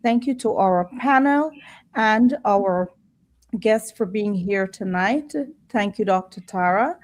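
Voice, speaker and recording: A woman's voice: medium pitch, slow pace. A woman hosting a discussion show, speaking in a formal presenting style. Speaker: host.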